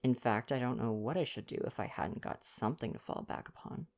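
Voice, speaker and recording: A woman's voice: rumbling low, a little fast, a little mellow, pitched below the performer's own voice. A woman voicing a polite, reserved young man, an ex-soldier, in a read-aloud novel. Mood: modest.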